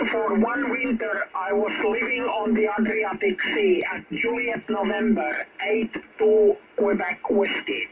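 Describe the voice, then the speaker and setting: Slow man heard over a satellite radio link. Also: high voice. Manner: slow, deliberate, spelling callsigns. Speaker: amateur radio operator. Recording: muffled radio signal with chatter.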